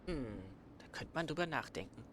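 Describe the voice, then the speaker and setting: A man's voice: on the high side, expressive, fairly quick, noisy background. A man hosting a let's play, commenting casually and playfully off the cuff. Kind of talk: casual gameplay commentary in banter.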